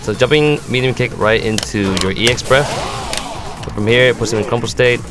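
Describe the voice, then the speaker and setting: A man's voice: medium pitch, brisk. A man giving an instructional commentary on fighting game moves, explaining them step by step as a competitive gamer. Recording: music playing behind the voice. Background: music.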